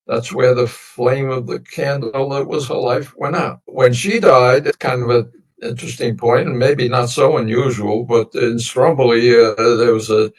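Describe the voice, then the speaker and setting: An elderly man in his nineties tells family stories in a reflective, wandering way, pausing often as he goes. Sicilian dialect was his first language. His mid-pitched voice sits well back from the microphone. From United States. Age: 90s. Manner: meandering, reflective storytelling with many pauses. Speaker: interview guest. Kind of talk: recounting family history. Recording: voice distant from the mic.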